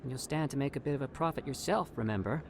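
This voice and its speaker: An actor voicing an eager, evasive alien scientist in a video game, with a persuasive, self-justifying manner. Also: male voice, mid-range voice, fast, a crowd in the background, natural voice. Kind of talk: video game character acting.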